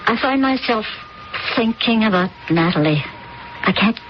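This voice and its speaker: A woman's voice: on the high side, animated, unhurried. An actress playing an anxious middle-aged stepmother in a radio drama, speaking hesitantly and uneasily. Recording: dull old radio recording with hiss.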